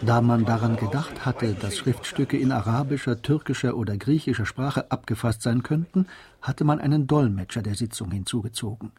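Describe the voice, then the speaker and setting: An actor as the sober, composed narrator of a literary radio drama. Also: male voice, mid-range voice, moderate pace, a little mellow, lightly acted. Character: sober male storyteller of a classic novel.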